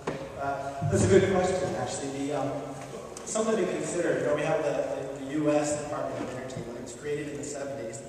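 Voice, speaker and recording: A man in his thirties answering questions at a political forum, measured and hesitant, with many fillers and restarts. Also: medium pitch, background noise. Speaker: legislative candidate at a party forum. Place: large school gymnasium. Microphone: handheld mic, heard from far. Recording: echoey gym from far off.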